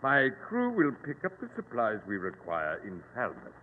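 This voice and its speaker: An actor playing a menacing, boastful pirate captain in formal, grandiloquent old-time radio drama style. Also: male voice, wildly swooping pitch, normal speed, big-room sound. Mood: cold menace. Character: menacing, boastful modern pirate captain holding captives at gunpoint. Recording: muffled, noisy old radio recording, boomy.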